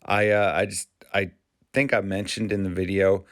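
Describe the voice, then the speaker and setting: A man from Maine hosting his channel, talking casually in a halting, rambling way with frequent restarts. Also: low voice, level tone, fairly bright tone, no echo, clean studio sound. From Maine, USA. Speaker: YouTube channel host. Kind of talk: casual vlog commentary.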